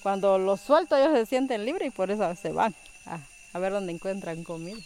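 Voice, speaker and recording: A woman's voice: mid-range voice, a little fast, dark tone. A woman, chatting casually as an interviewee, recorded outdoors. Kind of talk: casual chat. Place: outdoors near farm animals. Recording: outdoor field recording with insect noise.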